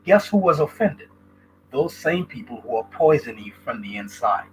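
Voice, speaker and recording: Man from Haiti, livestream host, emphatic commentary, lively pitch, faint background noise. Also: normal speed, poor audio. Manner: emphatic, rhetorical, stops to ask "right?". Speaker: channel host.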